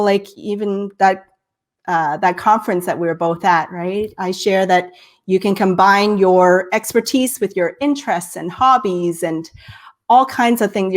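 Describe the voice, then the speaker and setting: A woman from Texas hosting a live-streamed interview, a bit nasal, enthusiastic and full of "right?". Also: medium pitch. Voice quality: slightly nasal. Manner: enthusiastic, many "right?" and "I love it". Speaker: host.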